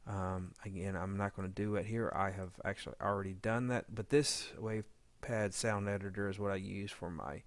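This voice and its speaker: A man giving an informal editing tutorial, talking haltingly as he thinks aloud while working. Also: lowish voice, steady tone, no room sound. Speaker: audio drama editor giving a tutorial. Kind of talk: informal software walkthrough.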